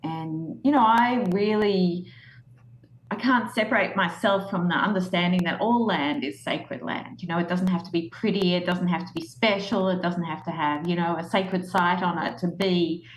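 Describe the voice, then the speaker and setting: An Australian woman, a guest author, speaking thoughtfully in an interview with many pauses and fillers. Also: on the low side. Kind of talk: podcast interview. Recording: echoey room with faint background noise.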